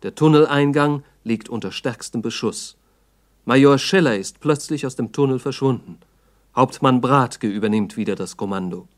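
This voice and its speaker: A man narrating a 1960s documentary radio drama in a sober, factual manner with measured diction. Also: mid-range voice.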